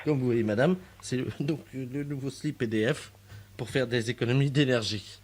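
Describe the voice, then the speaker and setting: A man's voice: mid-range voice, moderate pace, lightly acted. A man playing a shameless, unbothered door-to-door salesman with earnest, hesitant patter in a prank call. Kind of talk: prank call character comedy.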